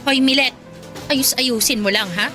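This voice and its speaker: An actress playing a jealous, possessive young fiancée in Filipino radio drama, pointed and challenging, with a Filipino accent. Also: female voice, high-pitched, moderate pace, close to an everyday voice. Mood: jealous, threatening. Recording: prominent background music under the voice.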